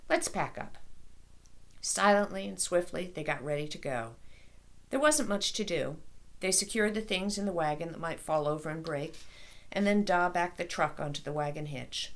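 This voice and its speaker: A woman author reading a children's story aloud in an expressive storytelling style. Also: fairly low pitch, moderate pace.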